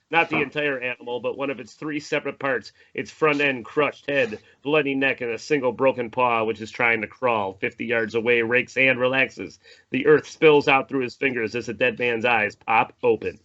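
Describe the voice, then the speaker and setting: Bright-voiced man reading screenplay directions. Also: mid-range voice, normal speed. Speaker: narrator reading stage directions. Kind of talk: screenplay table read narration. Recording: dull lo-fi audio with faint noise.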